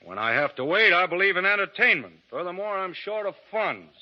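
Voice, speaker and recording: A cool, steely frontier gunfighter. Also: male voice, high-pitched, brisk. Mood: dry, calm. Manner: calm, dry, commanding drawl. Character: cool, steely male frontier gunfighter lawman, middle-aged. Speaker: frontier lawman lead. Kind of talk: 1930s radio drama acting. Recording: muffled 1930s radio broadcast.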